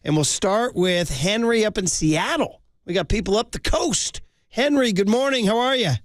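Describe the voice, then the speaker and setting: A man hosting a call-in sports radio show, energetic and joking with callers from a studio microphone. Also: sits high, dry recording. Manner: energetic, quick, jokey.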